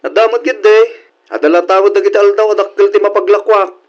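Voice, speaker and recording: An actor playing a hardworking young boyfriend in a radio drama. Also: male voice, high voice, fairly even intonation, quick pace, lo-fi sound, lightly acted. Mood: easygoing. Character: hardworking young boyfriend, a market meat seller.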